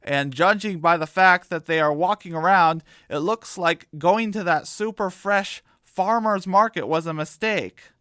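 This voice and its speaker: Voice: medium pitch, measured pace, hardly any pauses, fairly bright tone, no room sound, clean studio sound, natural voice. A performer voicing a grumpy, greedy, sardonic male cartoon cat in a radio parody. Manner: sardonic, grumbling.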